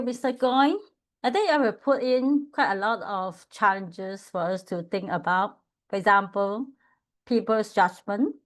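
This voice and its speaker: Slow, measured woman moderating a panel. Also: mid-range voice.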